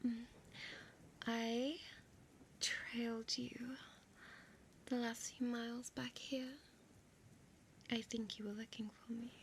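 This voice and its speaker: An ancient vampire seductress, played by a woman whispers intimately in an audio roleplay. Her breathy, fairly high voice stays level and unhurried, drawing out each phrase with possessive, teasing affection. Some background ambience sits behind the close whisper. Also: little pitch movement, a little hiss or hum. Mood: teasing, doting. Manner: slow, drawn-out, teasing delivery.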